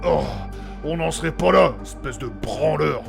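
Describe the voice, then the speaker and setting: Man playing a gravelly, blustering wounded warrior. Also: on the high side, big pitch swings, measured pace, over music, heavily acted. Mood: mocking, threatening. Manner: blustering, mocking, slangy. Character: gruff, bragging, foul-mouthed wounded male fantasy warrior. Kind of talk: comic audio drama acting.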